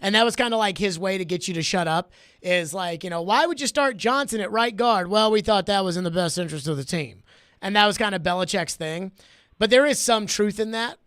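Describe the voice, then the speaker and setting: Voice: medium pitch, fairly quick, fairly bright tone, no room sound, studio quality. A sports talk host arguing opinions emphatically and repeating phrases to drive the point home.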